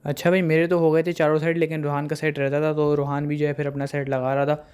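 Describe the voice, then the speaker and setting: Young guy talking over gym clips, kinda high voice, smooth, keeps it flat. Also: fast, heavy background noise. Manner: steady recap, heavy fillers. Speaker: gym vlogger narrating his workout. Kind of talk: voice-over narration of workout footage.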